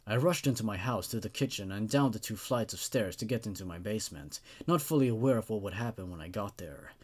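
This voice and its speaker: A man reading a scripted first-person horror story in a measured, dramatic storytelling manner. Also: on the low side, animated, a little mellow.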